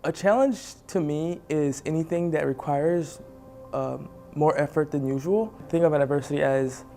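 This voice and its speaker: A young man from Haiti sharing his personal story as a guest, earnest and pausing with fillers. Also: fairly high pitch, gravelly, warm tone, faint music behind. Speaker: spotlight guest. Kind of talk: personal testimony.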